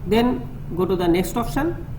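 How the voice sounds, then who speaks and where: A man's voice: high voice, lively intonation. Bangladeshi man demonstrating, noisy recording. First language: Bengali. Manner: halting, repetitive step-by-step explaining. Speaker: tutorial presenter. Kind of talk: hands-on technical demonstration. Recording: clearly noisy room recording.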